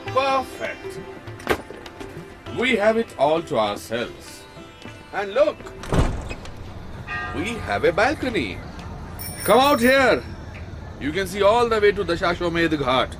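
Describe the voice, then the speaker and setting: An actor playing an excitable, bumbling male pulp novelist with a husky voice, effusive and theatrical, in a radio drama. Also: sits high, slow pace, pauses often, over music, exaggerated voice. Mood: excited, grand. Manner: effusive, hesitant when challenged.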